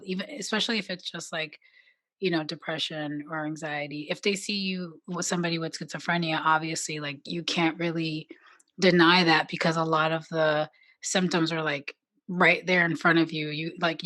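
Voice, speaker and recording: Low-voiced, hesitant woman guest. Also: pushed and bright, dry recording. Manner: hesitant, many fillers and restarts, laughs lightly. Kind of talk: casual panel conversation.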